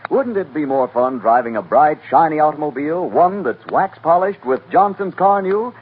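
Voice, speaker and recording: A man's voice: a little high, expressive, normal speed, husky. A man announcing a 1940s radio commercial with salesman's patter. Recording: tinny 1940s radio recording, faint hiss.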